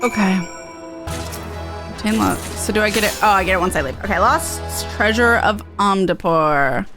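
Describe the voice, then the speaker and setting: A woman's voice: mid-range voice, normal speed. A woman game streamer giving casual, sweary live commentary as she plays. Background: game music.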